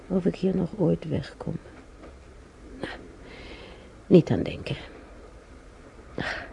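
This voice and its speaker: An older woman acting in a radio drama as a frail, bedridden, wistful but plucky old woman, musing haltingly to herself in a husky, breathy voice. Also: low voice, mellow, slight background noise, exaggerated voice, low-quality recording. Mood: weary, resigned.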